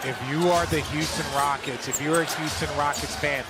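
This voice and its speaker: A man's voice: a little high, fairly even intonation, normal speed. A man giving live basketball analysis as a color commentator, repeating phrases for emphasis. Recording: loud noisy arena ambience behind.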